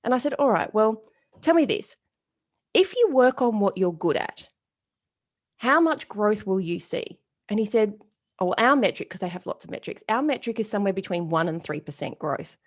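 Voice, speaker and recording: A woman's voice: medium pitch, moderate pace. A woman in her forties giving an interview as a retail strategist, telling stories bluntly and casually and acting out the people she quotes. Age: 40s. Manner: lively, animated storytelling with quoted dialogue. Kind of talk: storytelling interview answer.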